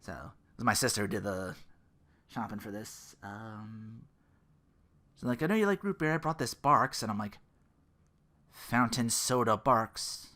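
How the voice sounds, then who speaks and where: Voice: mid-range voice, measured pace, long pauses, pushed and bright. A podcast host in their thirties on a casual comedic rant, sarcastic and profane, sometimes slipping into mocking quotes. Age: 30s.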